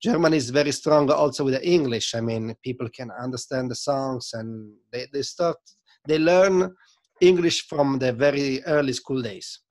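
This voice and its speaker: A man in his thirties with an Italian accent, guest on a video call interview, telling stories in a lively, gesturing way from a home studio. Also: medium pitch, moderate pace. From Italy. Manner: animated, gesturing, non-native phrasing. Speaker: sound system selector, guest. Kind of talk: casual interview storytelling. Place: home studio room with record shelves. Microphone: desk mic on video call.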